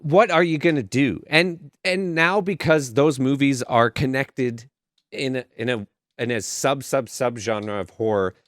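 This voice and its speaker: A man's voice: medium pitch, measured pace, fairly bright tone, no room sound. Man chatting casually, close and dry. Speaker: podcast co-host. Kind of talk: casual chat.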